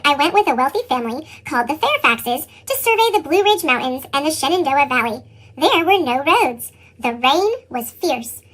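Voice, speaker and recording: A woman reading a children's picture book as an earnest young boy, with a squeaky pitched-up chipmunk effect. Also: exaggerated voice, poor audio. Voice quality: squeaky, sped-up chipmunk sound. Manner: animated children's read-aloud delivery. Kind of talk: scripted picture-book narration.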